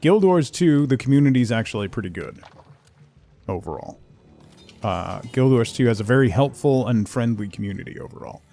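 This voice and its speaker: A man's voice: medium pitch, expressive, normal speed, gravelly, mellow, faint music behind. A man chatting casually as he livestreams a game, muttering asides to himself and his viewers. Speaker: game streamer. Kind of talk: casual livestream gameplay chat.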